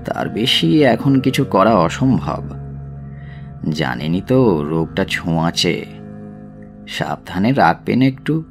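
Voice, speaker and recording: An actor playing a grave, reluctant doctor in a radio drama, speaking in a careful, hesitant way. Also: male voice, low-pitched, sing-song, slow, vocal fry, pauses often, over music, full character voice. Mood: cautious, awkward. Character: grave, reluctant male doctor giving bad news.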